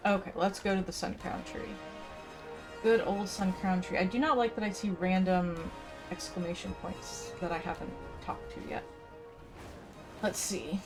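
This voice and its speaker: A woman gives casual commentary as she plays a game, sometimes reading quest text aloud. Her voice is mid-pitched, and game music plays clearly behind her. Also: steady tone, normal speed, low-quality recording. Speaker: gaming video host.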